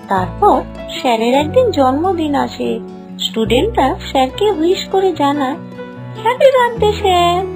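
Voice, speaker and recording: চড়া গলার প্রাণবন্ত গল্পকথক নারী. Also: female voice, high voice. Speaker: storyteller. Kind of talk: scripted story narration.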